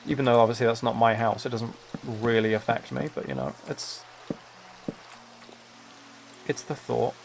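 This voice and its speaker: A soft-spoken man livestreaming, chatting in a relaxed way and musing aloud as he plays a game. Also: medium pitch, moderate pace, gravelly, pauses often, slight background noise. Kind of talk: relaxed chat while playing a building game.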